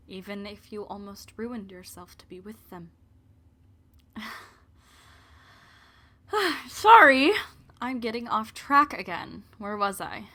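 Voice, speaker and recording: A woman as bright-voiced romance storyteller. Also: mid-range voice. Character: invested storyteller narrating a young couple's romance, digressive. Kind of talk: audio drama narration.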